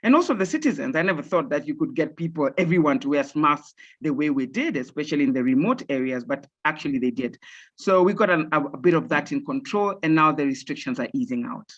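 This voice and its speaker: A woman speaks as a podcast guest. She answers in an earnest, steady way and often repeats a word to stress it. The audio sounds dull and lo-fi, as if it came over a remote link. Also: low-pitched, fast. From Kenya. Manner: earnest, repeats words for emphasis. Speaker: podcast guest, software director. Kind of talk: conversational interview answers.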